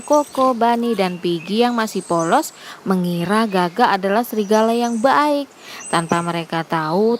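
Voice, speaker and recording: A woman narrates a scripted children's story at a medium pitch. Behind her is clear background noise with birds and insects.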